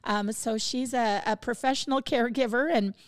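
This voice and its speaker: Bright-voiced gushing female podcast host. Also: on the high side, steady tone, normal speed. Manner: warm and laughing, gushing. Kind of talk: casual chat.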